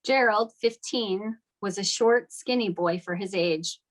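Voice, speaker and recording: A woman's voice: mid-range voice, measured pace. A woman serves as narrator for a script table read. She reads stage directions aloud in a mid-range voice, as scripted narration.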